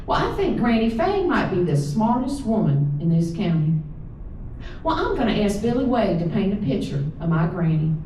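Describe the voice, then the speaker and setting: A woman's voice: medium pitch, sing-song, normal speed, dark tone. A woman with a Southern US accent giving a live reading of her folksy, drawling first-person stories. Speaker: author reading own stories. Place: live reading in an indoor event room. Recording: echoey room from far off, faint noise.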